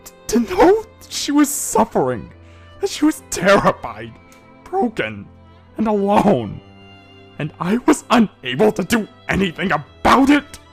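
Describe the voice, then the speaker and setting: A protective big-brother stallion from a pony story, acted out by an amateur reader. The voice swings widely in pitch and is emphatic, wounded and threatening, over background music. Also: huge pitch swings, unhurried, creaky, heavily acted. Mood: tearful anguish. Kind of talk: amateur dramatic fan fiction reading.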